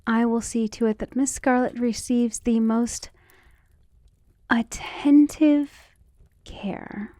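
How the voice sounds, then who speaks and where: A woman playing a devoted, outspoken servant of a noble household in an ASMR roleplay, speaking gently and deferentially in formal phrasing, often slipping into a whisper. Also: on the high side, takes it slowly, pauses often, no echo, studio quality. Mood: earnest, loyal. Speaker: voice actor in an ASMR roleplay audio drama. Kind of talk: soft-spoken ASMR roleplay acting, often dropping to a whisper.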